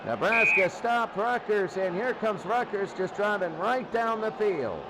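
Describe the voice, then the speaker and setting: High-voiced male football play-by-play announcer, noisy recording. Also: lively intonation, a little slow. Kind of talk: live American football play-by-play commentary.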